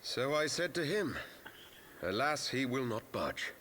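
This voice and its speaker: An actor playing an earnest, conflicted young noble heir in a fantasy game, speaking in formal archaic language. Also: male voice, mid-range voice, very animated, measured pace, a bit bright, slight background noise. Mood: grave. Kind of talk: scripted fantasy game acting.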